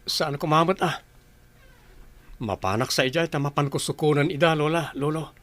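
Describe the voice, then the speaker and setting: A man's voice: fairly high pitch, normal speed, a bit bright, close to an everyday voice. A man playing an earnest, tender young bridegroom in an Ilocano radio drama. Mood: anxious.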